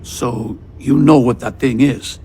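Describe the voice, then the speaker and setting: An actor playing a chatty, rough-edged veteran space patrol pilot in a video game, raspy and breezy. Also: male voice, mid-range voice, fairly even intonation, slow pace, mellow, slight background noise. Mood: relieved, chatty. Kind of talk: video game character dialogue.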